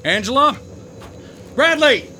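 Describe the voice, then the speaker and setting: A man's voice: very high voice, expressive, slow pace, full character voice. An actor playing a wary man named Brown, calling out restlessly in a horror radio play. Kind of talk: radio drama acting.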